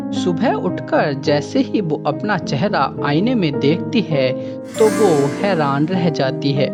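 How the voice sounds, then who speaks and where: A woman narrating a children's moral story in a calm, measured way. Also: deep voice, muffled recording. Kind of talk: scripted narration.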